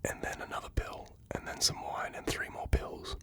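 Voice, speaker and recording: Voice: moderate pace, lightly acted. A reader whispering the lines of a rambling, sedated woman in her fifties.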